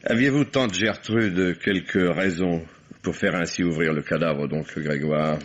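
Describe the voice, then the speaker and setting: A suave, cynical nephew in a radio mystery. His voice is low, with elaborate mocking politeness, on a muffled vintage recording. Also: a little slow. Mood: ironic, unruffled. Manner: ironic, mock-courteous, elaborately polite. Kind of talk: old French radio mystery acting. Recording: dull vintage radio sound.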